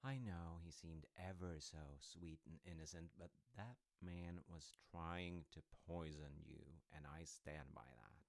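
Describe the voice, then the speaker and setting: A man playing a smooth-talking, slyly treacherous secret agent in an intimate audio roleplay, casual and halting, teasing as he drops into near-whispers. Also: dry recording. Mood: teasing, cautioning. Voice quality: deep, creaky low voice. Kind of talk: intimate one-sided audio roleplay acting.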